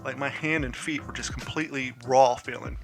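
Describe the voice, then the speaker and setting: A man's voice: mid-range voice, steady tone, a little fast, creaky, soft background music. A man hosting his own podcast, congested from a head cold, telling a rambling personal story with frank, joking candor. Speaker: podcast host. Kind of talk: casual solo podcast talk.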